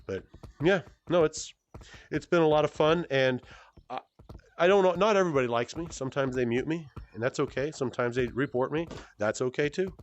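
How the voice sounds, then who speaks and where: A man's voice: fairly high pitch, fairly quick, muffled recording, slight background noise. A man in his forties answering fan questions in a casual, easygoing way as he plays a VR game, rambling a bit and often restarting his sentences. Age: 40s. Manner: rambling and self-correcting, with many fillers and restarts; easygoing and good-humored. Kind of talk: casual Q&A chat while playing a VR game.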